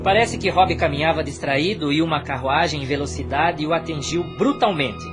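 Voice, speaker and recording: A man's voice: on the high side, normal speed, music in the background, lightly acted. A man playing a grave church messenger with bad news in a formal audio drama. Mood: grave, urgent.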